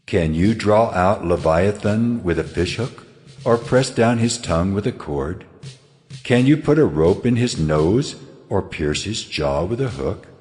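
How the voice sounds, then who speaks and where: A man's voice: low voice, animated, measured pace, a little mellow. A man playing a stern, majestic God in a dramatized scripture reading, solemn and declamatory as he challenges a mortal. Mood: stern, challenging. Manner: solemn, declamatory, rhetorical questioning.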